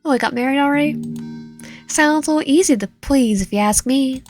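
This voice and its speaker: A woman's voice: high voice, brisk, a little mellow, faint music behind, pitched above the performer's own voice, lightly acted. A woman voicing a guarded, dry-witted captive spouse in a romance story, grudging in manner. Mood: casual, dry.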